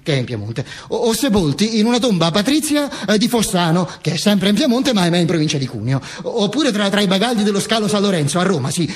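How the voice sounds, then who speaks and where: High-pitched, animated man, theatrical monologue. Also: slightly rough. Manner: mock-serious, emphatic, with repetitions and asides. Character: Mussolini's corpse narrating its own misadventures with ironic wit. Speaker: stage actor and monologist.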